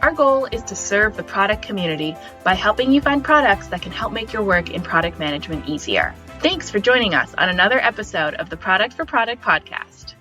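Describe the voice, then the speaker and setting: A woman's voice: mid-range voice, expressive, fairly quick, hardly any pauses, bright, forceful tone, faint music behind. A woman reading a polished, scripted podcast intro. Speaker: show announcer.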